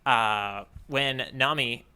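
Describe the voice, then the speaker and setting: A man co-hosting a podcast, chatting casually about comics in a halting way, often restarting his sentences. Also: mid-range voice, bright, forceful tone, clean tone. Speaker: podcast co-host. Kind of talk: casual chat about a manga.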